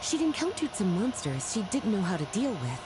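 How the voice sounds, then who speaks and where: An actress playing a playful, flirtatious, well-read woman in a video game, speaking in a coy, polished, unhurried way. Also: medium pitch, sing-song, noisy background, natural voice. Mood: playful, storytelling. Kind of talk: scripted video game character dialogue. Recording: game music behind the voice.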